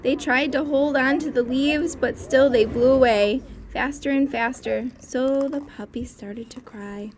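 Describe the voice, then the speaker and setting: Slow, higher-voiced woman reading a storybook. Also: slight background noise. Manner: expressive, pausing to ask questions. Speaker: teacher reading aloud to a class. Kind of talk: storybook read-aloud for young children.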